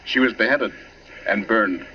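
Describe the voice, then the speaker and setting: A man's voice: on the low side, measured pace, lightly acted. A man playing a genial English professor with a taste for old murders, chatty and wry, in 1940s radio acting style. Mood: wry, relishing. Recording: muffled, very noisy old radio recording.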